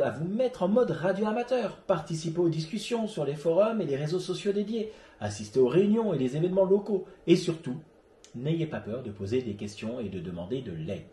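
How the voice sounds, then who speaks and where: Male web show host, mellow tone, animated intonation, scripted delivery, earnest, didactic, echoey room. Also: a little high. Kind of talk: scripted presentation read to viewers. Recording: roomy, echoey sound.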